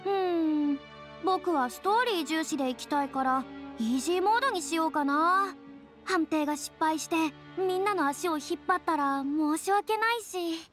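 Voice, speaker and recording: A woman's voice: very high-pitched, moderate pace, a bit bright. A woman voicing a cautious, dainty young woman in an anime video game, slightly affected and hesitant, with music behind. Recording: music under the voice.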